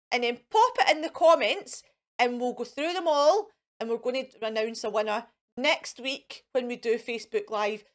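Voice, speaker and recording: A Scottish woman in her thirties presenting playfully to children as a fairy host, with an exaggerated, sing-song, coaxing and encouraging manner. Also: high voice. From Scotland. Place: indoors in front of a fairy backdrop.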